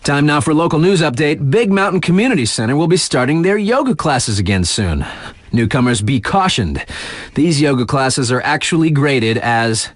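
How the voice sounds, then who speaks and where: A man's voice: on the high side, expressive, normal speed, no echo, clean studio sound. An actor playing a laid-back, wry male radio DJ in a video game, talking in casual slang to hype up his listeners. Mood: wry, amused.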